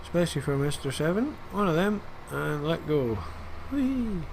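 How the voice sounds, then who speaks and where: A man's voice: on the high side, very animated, moderate pace, creaky, a little hiss or hum, rough recording. A man giving rambling, self-deprecating commentary over a farming game, full of fillers. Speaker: gaming video creator. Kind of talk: casual gameplay commentary.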